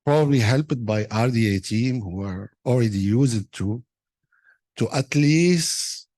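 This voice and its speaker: A man's voice: mid-range voice, lively intonation, slow, darkish tone, no echo. A man making an unscripted comment in a conference discussion, hesitant, often repeating and rephrasing his words. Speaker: meeting participant giving a comment.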